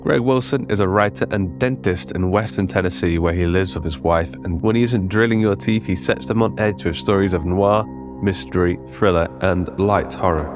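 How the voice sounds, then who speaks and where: A man's voice: deep voice, normal speed, over music. A man hosting a fiction podcast, reading a scripted outro with credits.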